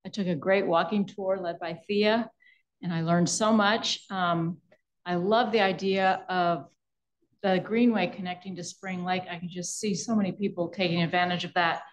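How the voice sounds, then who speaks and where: A woman's voice: fairly low pitch, steady tone, normal speed, dull audio. A woman giving public comment at a city meeting. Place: indoor public meeting room.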